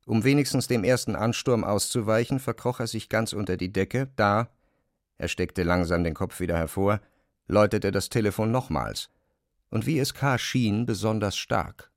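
A man narrates literary prose in a lowish voice with a clear creak in it. The sound is close and very dry, as from a studio. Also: normal speed, no room sound.

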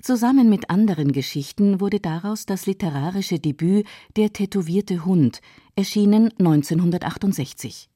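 A woman's voice: medium pitch, animated, moderate pace, mellow, husky, no room sound, studio quality. A woman reading scripted narration for a radio feature.

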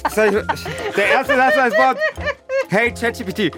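A man co-hosting a podcast in a casual, animated way. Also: very high-pitched, moderate pace, loud music behind the voice. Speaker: podcast co-host. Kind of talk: casual animated chat, reading out his own text.

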